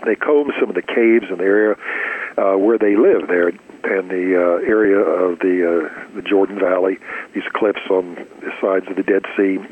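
Male scholar interviewed over a thin phone-like connection, low, husky, explaining as an expert. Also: steady tone, moderate pace. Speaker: expert guest, archaeologist and professor. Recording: slightly rough, very narrow phone-like line.

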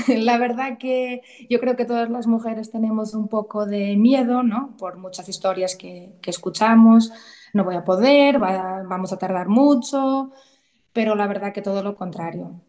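A woman's voice: mid-range voice, fairly even intonation, moderate pace, mellow. A woman in her thirties, originally from Portugal, speaking. She tells her story in a chatty, emotional way and often restarts her sentences, as a guest in a casual interview.

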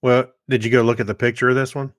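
Male podcast co-host, mid-pitched, plain-spoken casual chat, dry sound. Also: level tone, fast, no room sound.

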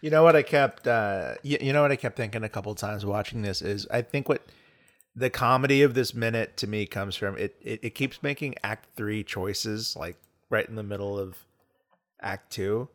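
A man's voice: fairly low pitch, normal speed. A man co-hosting a podcast, discussing film casually and repeating words as his thoughts form. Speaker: podcast co-host.

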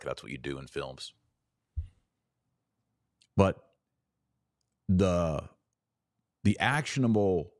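A man's voice: rumbling low, darkish tone, dry recording, clean studio sound. A man hosting a podcast, giving an earnest solo film review and lifting his voice on words he wants to stress. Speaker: podcast host.

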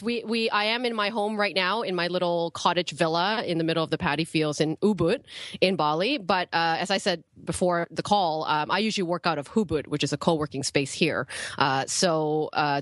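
A woman's voice: fairly low pitch, fairly bright tone, dry recording, studio quality. A woman from Malaysia and Canada, chatting casually as an interview guest over a video call, with lots of fillers and restarts. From born in Malaysia, raised in Canada. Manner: chatty, frequent fillers and restarts, laughs easily. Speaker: podcast guest, entrepreneur. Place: home cottage.

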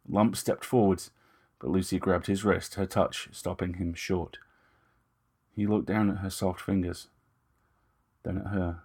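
A man's voice: deep voice, creaky, darkish tone, slightly rough. A man reading scripted dramatic fiction as narrator.

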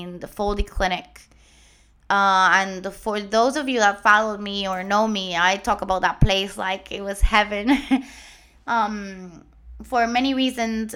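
A woman in her late twenties with a Puerto Rican accent, Spanish her first language, telling her own story earnestly with many pauses and restarts. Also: mid-range voice, sharp tone, smooth. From Puerto Rico. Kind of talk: personal story told to followers.

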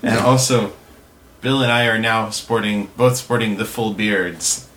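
A man's voice: on the low side, steady tone, unhurried, fairly bright tone, low-quality recording. A man hosting a podcast, giving introductions and chatting casually with his guests. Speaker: podcast host. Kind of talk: scripted introduction and casual round-table chat.

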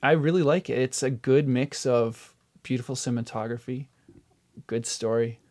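A man's voice: medium pitch, moderate pace, darkish tone. A man chatting casually as a podcast guest, informal and jokey. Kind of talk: casual chat, answering quick-fire questions.

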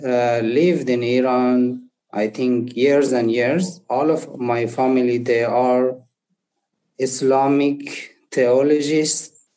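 A young man from Afghanistan, not an English speaker, telling his life story haltingly and searching for words. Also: mid-range voice, mellow, rough recording. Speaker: interview guest. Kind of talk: personal testimony.

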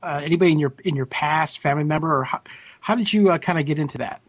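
A man with a husky voice casually asking interview questions, on a dull recording. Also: a little high, fast talker, no echo. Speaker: panel questioner. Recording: dull, dry lo-fi call.

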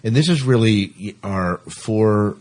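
A man hosting a podcast in casual conversation, with a husky voice. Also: fairly low pitch, animated, slow pace, a little mellow. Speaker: podcast host. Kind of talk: casual chat.

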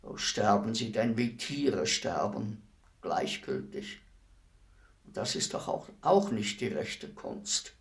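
An actor playing a world-weary, philosophical executioner in a radio play, speaking in a deliberate, brooding, respectful way. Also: male voice, on the low side, sing-song, vocal fry, exaggerated voice. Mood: resigned, melancholy. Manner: deliberate, brooding, formally addressing a lady. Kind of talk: radio play acting, slow reflective monologue.

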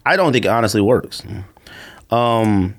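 A man chats casually as a podcast co-host, his pitch swinging around in a very lively way. He is slangy and jokey, and he has a cold that leaves him slightly under the weather. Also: unhurried. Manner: animated, joking, slangy. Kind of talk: casual chat.

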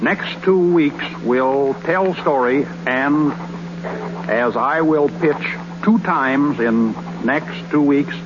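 An actor playing a naive, boastful young country baseball pitcher in a 1930s radio comedy, talking in a folksy, ungrammatical, earnest way. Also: male voice, takes it slowly. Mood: dictating slowly. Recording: noisy muffled 1930s radio recording.

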